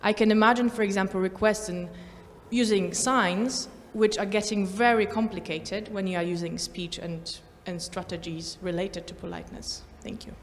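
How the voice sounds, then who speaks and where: A woman's voice: medium pitch, fairly even intonation, normal speed. Woman asking a question in an echoey hall. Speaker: audience member asking a question. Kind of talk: conference question, careful and halting. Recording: echoey hall, faint noise.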